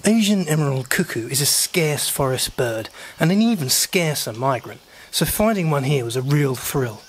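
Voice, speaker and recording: Calm man narrating a voice-over. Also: mid-range voice, moderate pace, husky. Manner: calm, informative. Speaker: birding vlogger.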